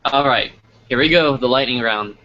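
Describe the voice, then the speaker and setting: Bright-voiced male interview host, lo-fi sound. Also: medium pitch, normal speed. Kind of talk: casual live interview hosting.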